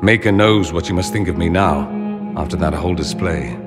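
An actor playing a wounded, proud mage in a fantasy game, wry and heartfelt. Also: male voice, low voice, a little fast, close to an everyday voice. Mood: hurt, sincere. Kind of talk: video game cutscene dialogue. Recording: loud music behind the voice.

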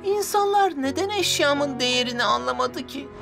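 Proud excitable cartoon boy bus, really high voice, music under it. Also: moderate pace, gravelly. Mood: surprised. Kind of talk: children's cartoon dub.

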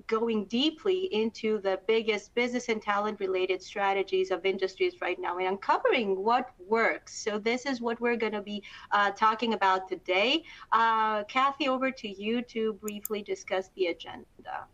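A woman's voice: medium pitch, normal speed, fairly bright tone, highs cut off. A woman introducing herself as co-presenter of a webinar over a video call, upbeat and friendly. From Greece. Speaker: research director, webinar co-presenter.

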